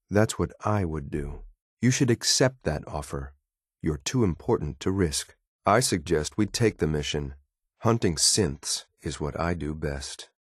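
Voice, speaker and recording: An actor playing a cold, loyal synthetic enforcer in a video game, speaking in a clipped, formal, deadpan and disdainful way. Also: male voice, deep voice, no room sound, studio quality. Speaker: video game companion character. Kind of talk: scripted game voice lines.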